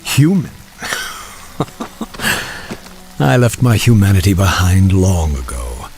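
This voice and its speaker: A man playing a mysterious, urgent prophet in a video game, speaking gravely and theatrically. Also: low voice, sing-song, slow pace, darkish tone, soft background music, exaggerated voice, low-quality recording. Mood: ominous. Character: mysterious male prophet, no longer human, solemn and urgent. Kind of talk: video game cinematic acting.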